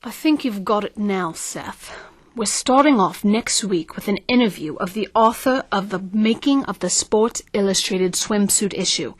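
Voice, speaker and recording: A woman's voice: mid-range voice, unhurried, close to an everyday voice. A woman playing an ambitious, scheming marketing consultant in an audio drama, self-assured and coaxing. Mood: brisk, directing.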